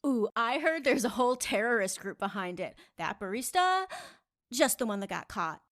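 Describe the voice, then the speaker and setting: A woman's voice: on the high side, sing-song, normal speed, a bit bright, no echo, studio quality. A woman acting a blunt, gossipy cafe customer in a fantasy audio drama. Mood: gossipy, excited. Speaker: voice actor in a fiction podcast.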